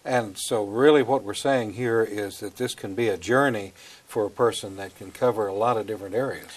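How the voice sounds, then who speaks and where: A man's voice: fairly low pitch, a little fast. Older man hosting a TV studio interview. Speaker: host. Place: small TV studio.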